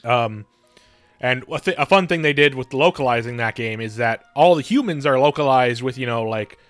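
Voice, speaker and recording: A man gives casual livestream commentary on a game in a medium-pitched voice. He rambles and restarts sentences as he explains. The sound is dry, with faint music behind. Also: dry recording. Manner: rambling, halting explanations with many false starts.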